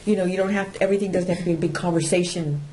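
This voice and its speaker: Woman, New England accent, lowish pitch, chatty and laughing, casual podcast chat. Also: steady tone, very fast, mellow, slight background noise, rough recording. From Massachusetts. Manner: chatty, laughs often, restarts sentences. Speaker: podcast co-host.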